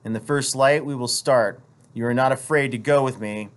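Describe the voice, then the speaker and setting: A man's voice: mid-range voice, moderate pace, close to an everyday voice. A man voicing a proud, stubborn husband in a storybook, fierce, determined and commanding. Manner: forceful, commanding. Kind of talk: storybook character reading.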